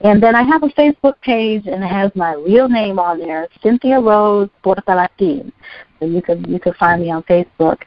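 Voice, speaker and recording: A woman with a soothing, relaxing voice, pitched a little low, chats casually as a guest. She rambles a bit, with fillers and restarts. The recording is dry and dull, lacking brightness. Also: no room sound. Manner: chatty, rambling with fillers and self-corrections. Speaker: poet, guest. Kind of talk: casual interview chat.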